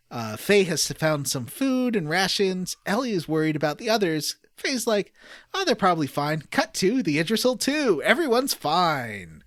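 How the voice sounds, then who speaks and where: An animated podcast host retells a story in a loose, joking way. The pitch moves around a lot at a middle height, and quotes get put-on voices. The sound is dry and close. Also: no room sound. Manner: puts on voices for quoted lines, laughs through readings. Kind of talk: casual, jokey recap of a video game story, reading out dialogue.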